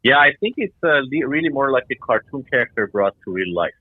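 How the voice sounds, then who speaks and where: A man's voice: medium pitch, expressive, brisk, dry recording. A middle-aged man from Iran and Denmark giving explanatory answers as an interview guest. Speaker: guest, startup founder. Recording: tinny phone-like line.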